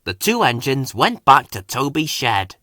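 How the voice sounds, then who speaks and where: A man's voice: medium pitch, sing-song, unhurried, sharp tone, no echo, clean studio sound. A man narrating a scripted children's story as a storybook reader.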